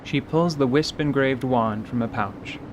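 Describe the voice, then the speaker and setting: A man's voice: medium pitch, measured pace, background noise. A man giving scripted, dramatic narration as the narrator of a fantasy audio show.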